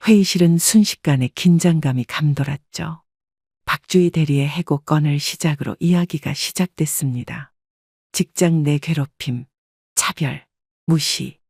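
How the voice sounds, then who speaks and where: A woman reading a scripted first-person story as an audiobook narrator, in a measured, polite storytelling manner. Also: sits low, a little mellow, breathy edge, dry recording, clean studio sound.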